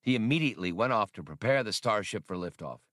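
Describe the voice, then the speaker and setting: Low, dry-recorded male audiobook narrator. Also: animated, fast, a bit bright, dry recording. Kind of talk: scripted narration of an adventure novel.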